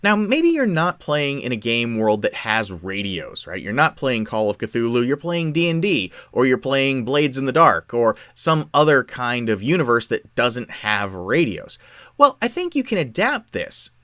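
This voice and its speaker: A man explains software step by step in a tutorial style. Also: medium pitch, sing-song, unhurried, no echo, clean studio sound. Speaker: tutorial presenter. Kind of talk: software tutorial, explanatory screen-share narration.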